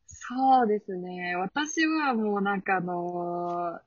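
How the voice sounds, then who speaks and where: A woman's voice: mid-range voice, slow pace, dry recording. A woman chatting casually as a guest, her voice dull and distant on a lo-fi recording. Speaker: guest, amateur voice actor. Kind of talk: casual chat. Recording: dull, distant-mic lo-fi audio.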